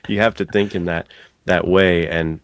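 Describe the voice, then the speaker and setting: Low-pitched man, casual and dry-sounding. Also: steady tone, smooth, dry recording. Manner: informal, halting, thinks aloud. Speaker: podcast host and thriller novelist. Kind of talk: casual interview chat.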